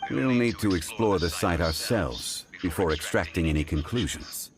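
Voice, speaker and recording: A man playing a calm, deductive young investigator in a video game, speaking in a measured, formal way. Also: low-pitched, crowd noise. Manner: measured, analytical, formal phrasing. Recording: game soundtrack under voice.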